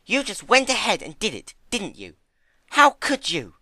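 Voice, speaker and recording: A gentle, timid young female pony played by a man reading a story aloud. The voice sits high and light, earnest and a little hesitant, with lively swings in pitch. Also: measured pace, no room sound, full character voice. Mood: shocked, hurt.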